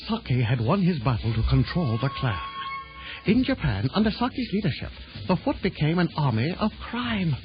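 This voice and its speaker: A gentle, aged rat sensei in a children's audio drama. His formal storytelling moves expressively over loud music, on a dull old tape recording. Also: a little high, sing-song, moderate pace. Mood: solemn storytelling.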